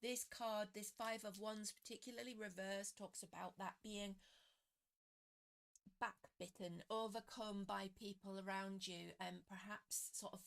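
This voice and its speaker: Live tarot host, woman, chatty and a bit croaky, greets viewers by name. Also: mid-range voice, steady tone, unhurried, fairly bright tone. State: slightly croaky voice. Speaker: tarot reader hosting a live stream. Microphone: computer webcam setup.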